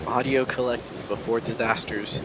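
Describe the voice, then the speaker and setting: A man's voice: medium pitch, steady tone, normal speed, a loud crowd, poor audio. A man chiming in on a game playthrough with short, wry one-liners. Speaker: co-commentator. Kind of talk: casual let's-play chat.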